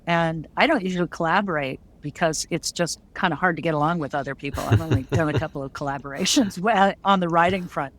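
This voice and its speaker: A woman's voice: deep voice, fast talker, hardly any pauses. A woman hosting a casual interview, chatty and thinking aloud as she goes. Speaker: podcast host interviewing guests.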